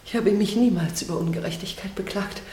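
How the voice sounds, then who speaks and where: A woman's voice: medium pitch, brisk, darkish tone, lightly acted. A woman acting in a German radio play as a dignified, emotional lady prisoner, speaking theatrically and effusively. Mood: grateful, moved. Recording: echoey, slightly noisy room.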